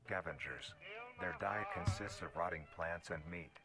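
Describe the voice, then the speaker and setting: Male narrator, very low voice, formal and measured nature-documentary read, prominent music bed underneath. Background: music playing under the voice.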